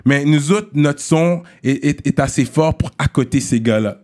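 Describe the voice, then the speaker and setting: A man's voice: on the high side, normal speed, darkish tone. A man with a Montreal Quebec French accent hosting an interview, casual and slangy, switching into English. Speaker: host.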